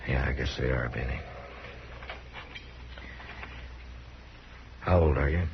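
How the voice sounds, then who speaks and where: An actor playing a calm, grave frontier lawman who questions in terse, measured phrases, in 1950s radio western style. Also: extremely low pitch, gravelly. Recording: muffled, noisy vintage radio recording.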